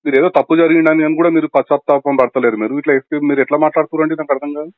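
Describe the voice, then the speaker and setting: A man's voice: a little high, steady tone, rapid-fire, dry recording. A man with a Telangana Telugu accent confronting someone as a caller, accusing, insistent and cutting in. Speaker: caller from an activist organisation. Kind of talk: confrontational phone conversation. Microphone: phone. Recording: muffled recorded phone call.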